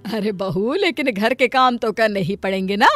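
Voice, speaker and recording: A woman's voice: medium pitch, moderate pace, no echo, faint music behind, lightly acted. A woman voicing an older, practical mother-in-law in a cartoon family story, chiding in a matronly way. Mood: nagging. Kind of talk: animated Hindi family story dialogue.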